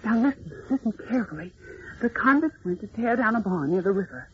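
A woman's voice: medium pitch, lively intonation, fast, natural voice. An actress playing a brave, indignant captive young woman in an old radio serial, with earnest, dramatic diction. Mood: urgent. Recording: muffled, noisy old radio recording.

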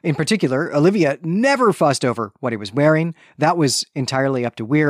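A man with a mid-pitched voice talks as a book podcast co-host. He lays out details in a measured, explanatory way, stressing the key points. The sound is dry, with very little room in it. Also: no room sound. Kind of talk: book recap discussion, explanatory.

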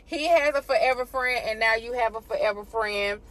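A woman hosting a podcast in a casual, effusive, informal way, quick to laugh. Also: a little high, a bit bright, rough recording. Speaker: podcast host.

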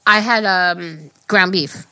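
A woman's voice: on the low side, slow, sharp tone, no echo. Chatty woman podcast co-host, close dry mic. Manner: chatty, rambling with restarts. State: slight tickle in throat, coughing. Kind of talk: casual chat.

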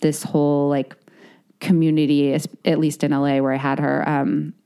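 A woman's voice: low voice, flat delivery, moderate pace, mellow, smooth. A woman in her late thirties, an author, talking reflectively in a casual interview and searching for words with many fillers. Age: 30s. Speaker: author guest.

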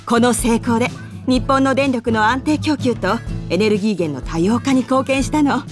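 A woman's voice: a little high, moderate pace, lightly acted. An actress playing a friendly, composed senior female office colleague in a Japanese radio drama, a little bashful and evasive. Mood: earnest explaining. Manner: friendly casual senior speech, earnest when explaining. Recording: studio voice with music behind.

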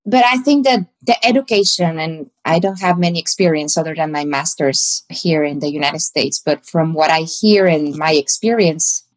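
Mexican-accented woman pastor, lowish voice. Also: moderate pace, few pauses. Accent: Mexican Spanish. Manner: reflective, searching for words. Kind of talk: candid interview answers.

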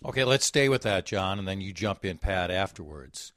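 A man hosting a radio panel, briskly steering the questions. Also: low voice, lively intonation, a bit bright, no echo, studio quality. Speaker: radio host.

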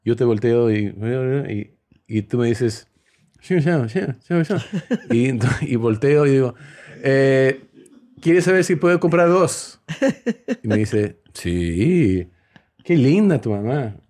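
Mellow man with mixed Spanish accent. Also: mid-range voice, animated, takes it slowly. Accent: mixed Spanish with Peruvian, Spain and Mexican influences. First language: English. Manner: acts out dialogue while telling anecdotes. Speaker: host. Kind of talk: casual conversational storytelling.

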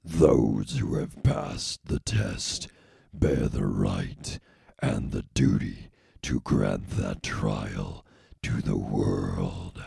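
Monstrous, abyss-deep gravelly cyborg zealot. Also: male voice, dark tone, no echo, clean studio sound, exaggerated voice. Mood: solemn, commanding. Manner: slow, solemn, preaching. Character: monstrous half-machine male cult leader, menacing, grandiose. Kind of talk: dramatic audiobook character acting.

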